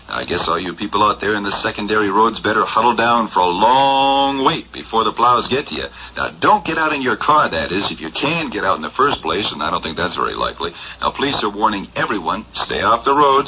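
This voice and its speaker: Lively, folksy late-night radio announcer. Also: male voice, fairly low pitch, noisy background. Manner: jovial, avuncular, rambling. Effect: heard through a radio set. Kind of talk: local radio weather bulletin, acted. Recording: muffled radio broadcast with crowd-like background.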